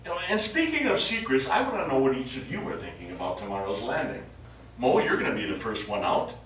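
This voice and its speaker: An actor playing a supportive, needling astronaut in a stage play, talking in a colloquial, prodding way. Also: male voice, medium pitch, big pitch swings, quick pace, fairly bright tone. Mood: prodding, teasing. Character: supportive, needling male astronaut crewmate who stays behind. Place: small studio theatre, recorded from afar. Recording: very echoey, noisy, distant stage recording.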